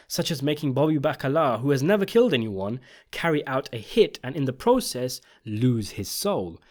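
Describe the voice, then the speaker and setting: A man delivering scripted video essay commentary on a TV series, in an emphatic, opinionated way. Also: medium pitch. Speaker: video essayist and channel host.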